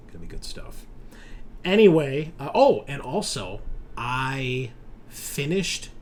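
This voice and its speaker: A man's voice: mid-range voice, slight background noise. A man hosting a game stream in casual, informal chat, swearing freely. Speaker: host and game master.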